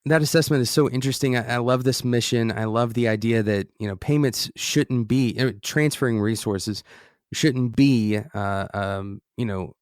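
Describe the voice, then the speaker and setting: An American man hosting an interview podcast, speaking conversationally and haltingly, thinking aloud and rephrasing as he goes. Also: mid-range voice, dry recording, studio quality. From United States. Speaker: podcast host interviewing a guest. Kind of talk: conversational interview, long musing questions.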